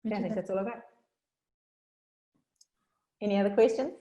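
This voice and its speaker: A woman with a South African accent giving a chatty workshop talk on a video call, talking fast and informally. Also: mid-range voice, dark tone. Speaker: workshop speaker. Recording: roomy echo.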